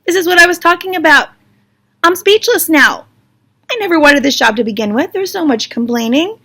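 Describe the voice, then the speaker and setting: An overwhelmed leader of a grumbling people, acted out by a woman in a high, sharp-edged voice. The delivery is an incredulous, emphatic rant, fed up and exasperated, as in a Bible story told aloud. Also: very animated, normal speed, gravelly, husky, heavily acted. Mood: overwhelmed, pleading.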